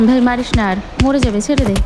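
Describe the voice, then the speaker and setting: A woman's voice: on the high side, fast talker, close to an everyday voice. A woman storyteller voicing a cheeky, loyal young male college student who talks in a chatty, familiar way. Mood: urgent. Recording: long reverb, music underneath.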